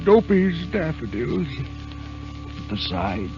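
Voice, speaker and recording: An actor playing an excitable little dwarf who shouts orders to the others, in a 1930s radio drama. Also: male voice, on the high side, drawn-out words, heavily acted. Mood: tender. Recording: lo-fi 1930s radio broadcast with music.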